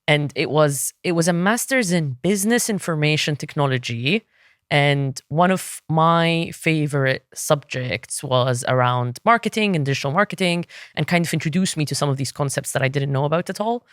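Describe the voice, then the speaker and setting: A woman in her thirties from Egypt whose first language is Arabic speaks as a guest in a relaxed interview. Her voice is smooth and clear, and she often restarts her sentences. The sound is dry and close, with no room echo. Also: low-pitched, moderate pace. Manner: thoughtful, frequent restarts and fillers. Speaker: podcast guest, SEO consultant. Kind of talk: casual interview talk.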